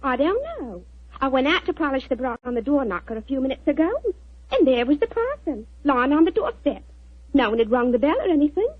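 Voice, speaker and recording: An actress playing Annie, an eager, deferential young housemaid, in a 1940s radio drama. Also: female voice, high-pitched, very animated, a little fast. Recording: tinny distant 1940s radio broadcast.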